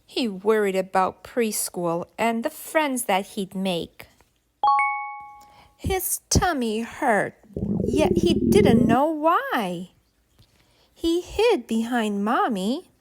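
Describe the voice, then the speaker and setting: Lady doing a really slow kids read-aloud, high sing-song voice, some noise on the recording. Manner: expressive, deliberate, sing-song storytelling for small children. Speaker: children's story reader and channel host. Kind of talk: read-aloud of a rhyming picture book for preschoolers.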